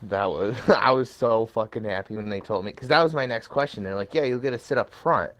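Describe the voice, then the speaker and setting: A young man with an American accent and a fairly low voice chats casually with friends. He tells stories informally and swears freely, like a co-host on a podcast. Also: brisk, fairly bright tone. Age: young adult. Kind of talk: casual chat among friends.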